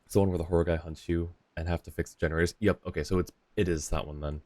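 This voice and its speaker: A man's voice: low voice, fairly even intonation, racing, a little mellow, no room sound. A slightly tired man chatting casually and politely with viewers as a live game streamer. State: a little tired. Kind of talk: casual chat with viewers while gaming. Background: faint game music.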